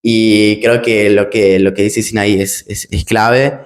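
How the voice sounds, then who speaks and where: A man's voice: on the low side, flat delivery, takes it slowly. A German man who speaks Spanish picked up in Argentina, hosting an interview in a casual, hesitant way with many restarts. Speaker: project manager, interviewer.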